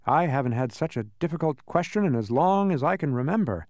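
A man's voice: on the high side, animated, a little fast, darkish tone, no echo, studio quality, voice pushed up, natural voice. A man voicing a cheerful, proud, absent-minded ordinary fellow in a storybook, chirpy and emphatic. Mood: muddled. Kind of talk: audiobook character voice.

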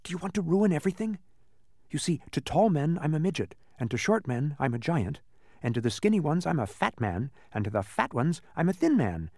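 A man's voice: a little high, very animated, brisk, darkish tone, no room sound, studio quality, pitched above the performer's own voice. A man voicing a cheerful, proud, absent-minded ordinary fellow in a storybook, chirpy and emphatic. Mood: confiding. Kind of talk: audiobook character voice.

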